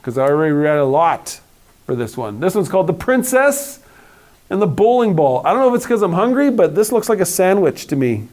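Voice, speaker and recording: A man's voice: high-pitched, big pitch swings, a little fast, a little mellow. A man in his forties reading a storybook aloud with playful, theatrical phrasing and casual asides. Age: 40s.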